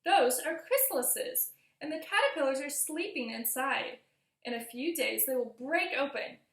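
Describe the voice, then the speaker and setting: A reassuring woman schoolteacher from a storybook, voiced by a woman reader explains things gently and deliberately. The voice is fairly high with a creaky edge, recorded in an echoey room. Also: animated. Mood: calm explaining. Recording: roomy echo.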